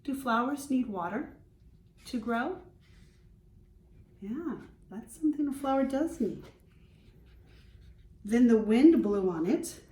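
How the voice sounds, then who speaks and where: A woman with a somewhat high voice reads a picture book aloud as a teacher would. She goes very slowly, explains in simple terms and pauses for questions. The sound is roomy and echoing, picked up at a distance from the microphone. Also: lively intonation, dark tone. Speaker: schoolteacher reading to class. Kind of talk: children's storybook read-aloud with questions.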